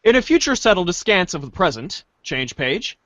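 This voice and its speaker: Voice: mid-range voice, very animated, normal speed, fairly bright tone, no room sound. A podcast host doing a playful, theatrical group reading of a webcomic script. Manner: playful, theatrical page-change calls.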